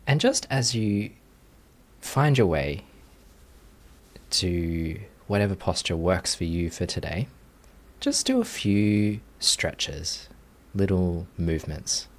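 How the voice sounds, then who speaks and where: A man leading a guided meditation as a teacher, speaking gently and invitingly with long, unhurried pauses. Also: deep voice.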